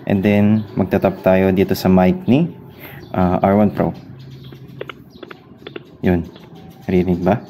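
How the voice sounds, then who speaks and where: Slow, low-voiced Filipino man giving a tutorial. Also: steady tone, warm tone, slight background noise. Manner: halting, searching for words, mixing in English terms. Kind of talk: casual hands-on product tutorial.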